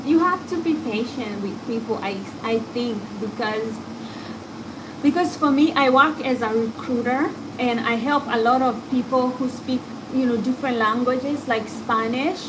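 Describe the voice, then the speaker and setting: A woman with an African accent talks in a candid, reflective way, hosting a casual chat. Her voice sits a little higher than usual, with a clear hiss of background noise behind it. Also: measured pace. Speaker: podcast host.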